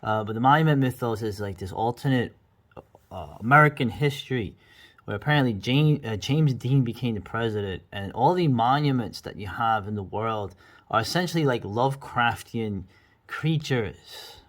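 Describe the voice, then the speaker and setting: A man's voice: medium pitch, expressive, moderate pace, a bit bright. A middle-aged man giving casual, rambling, enthusiastic commentary as the host of a video review.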